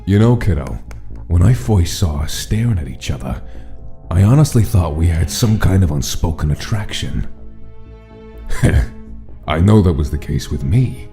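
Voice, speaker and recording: A man voicing a menacing skeleton mobster in a comic dub, rough-voiced and mockingly flirtatious, with a taunting drawl. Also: low voice, lively intonation, measured pace, pauses often, warm tone. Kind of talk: dramatic comic dub acting. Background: music.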